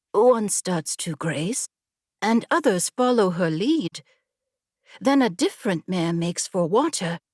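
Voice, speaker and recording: A woman voicing an eager, awestruck young trainee in a fantasy audiobook, with breathy, earnest wonder. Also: mid-range voice, a little mellow, no echo, clean studio sound, heavily acted.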